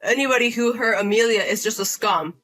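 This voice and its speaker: A woman speaks as a stern husband standing up for his wife, indignant and confronting. The voice is bright and stays at an everyday pitch, with a firm, direct delivery in a drama story. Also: brisk. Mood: angry. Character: protective angry husband, younger brother.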